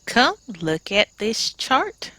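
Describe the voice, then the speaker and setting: A woman's voice: on the high side, big pitch swings, drawn-out words, sharp tone, dry recording, full character voice. A woman reading aloud a kindly male farmer in a children's storybook, explaining things patiently and encouragingly. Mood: hearty, inviting.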